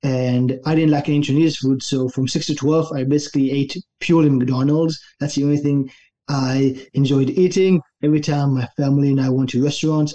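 A young adult man from Israel tells his story with an easygoing, relaxed manner. His voice sits a little high and sounds soft and mellow. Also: dull audio. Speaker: guest, online fitness coach. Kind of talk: casual interview storytelling.

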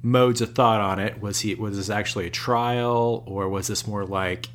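A man with a very low voice talks through scripture in an easy podcast chat. He explains at length and tosses in dry jokes as he goes. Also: fairly even intonation, moderate pace, a little hiss or hum. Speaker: podcast co-host. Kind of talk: casual chat on faith and scripture.

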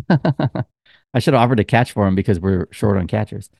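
Lower-voiced man, rambling podcast chat. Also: animated, normal speed, vocal fry, a little mellow, no echo, studio quality. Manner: rambling storyteller, many fillers. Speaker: podcast co-host. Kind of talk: casual chat.

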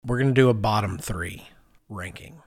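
A man's voice: fairly low pitch, moderate pace, dry recording, studio quality. A middle-aged man co-hosting a podcast, telling stories in a casual, conversational way and acting out what people said. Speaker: podcast co-host.

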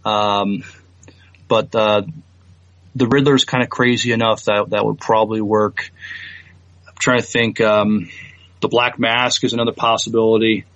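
A man's voice: fairly low pitch, little pitch movement, unhurried, pauses often, fairly bright tone, no echo, lo-fi sound. A man co-hosting a podcast, chatting casually about entertainment news and often thinking aloud in a hesitant way. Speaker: podcast co-host.

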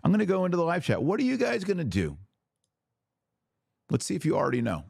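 A man's voice: high voice, huge pitch swings, fast talker, gravelly, darkish tone, no room sound, clean studio sound. A man hosting a religious commentary podcast, speaking in an emphatic, preachy way with short punchy phrases and questions put straight to his listeners. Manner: rhetorical questions to listeners, acting out imagined quotes. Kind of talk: impassioned religious commentary to a live audience.

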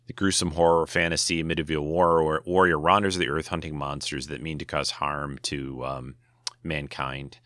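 A middle-aged man with an extremely low voice talks in a loose, rambling way, full of restarts and "you know"s. His tone is bright and forceful, and his throat sounds a little dry. The sound is close and dry, with no room around it. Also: no room sound. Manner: rambling, many restarts and fillers. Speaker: podcast host. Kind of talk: casual solo talk.

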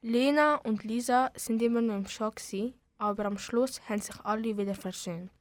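A woman's voice: a little high, moderate pace, dry recording. A young female narrator of an amateur school radio play, speaking with a Swiss German accent.

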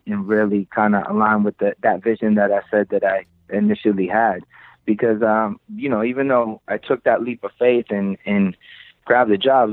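A man's voice: on the low side, no room sound. A man chatting casually as an interview guest, candid and slangy with free swearing, telling stories. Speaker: interview guest, creative director of a sneaker shop. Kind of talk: casual chat, storytelling. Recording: thin phone-like radio-quality line.

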